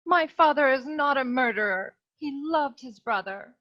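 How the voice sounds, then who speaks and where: A woman's voice: high-pitched, lively intonation, moderate pace. A woman playing a distressed, earnest dame pleading with a detective in a comic noir radio serial. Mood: pleading, upset. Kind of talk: parody noir radio drama acting. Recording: dull, muffled lo-fi audio.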